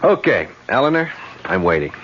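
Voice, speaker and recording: A man's voice: mid-range voice, big pitch swings, moderate pace. An actor in a 1970s radio drama playing a worried male friend who urges the truth, earnest and pressing. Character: concerned male friend urging honesty. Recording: dull vintage radio broadcast.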